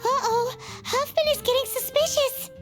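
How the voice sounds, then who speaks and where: A woman voicing a tiny, excitable, childlike fairy companion in a video game, squeaky and exclamatory. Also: moderate pace. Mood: alarmed. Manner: exclamatory, refers to self in third person. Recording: music behind the voice.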